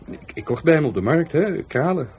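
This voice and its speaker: An actor with a Netherlands Dutch accent playing a touchy, defiant law-school dropout in a radio play, by turns hesitant and scornful. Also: male voice, on the high side, animated, fairly quick, a little mellow, slight background noise, close to an everyday voice. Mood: hesitant, explaining. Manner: hesitant, then scornful and argumentative. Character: defiant, touchy young male law-school dropout, murder victim's helper.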